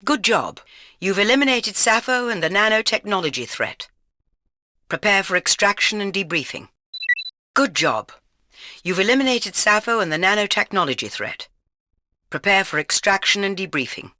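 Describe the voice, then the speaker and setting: An actress playing a stern, commanding older British intelligence chief in a video game, speaking in a formal, clipped, authoritative way. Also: female voice, mid-range voice, expressive, moderate pace, sharp tone. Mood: approving, crisp. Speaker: intelligence chief giving mission orders. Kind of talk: video game mission briefing lines.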